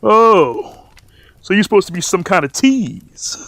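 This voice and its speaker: A man playing a big, gruff, suspicious dragonborn blacksmith in a fantasy audio drama, blunt and streetwise. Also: sits high, sing-song, unhurried, fairly bright tone, full character voice. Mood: intrigued, flirty.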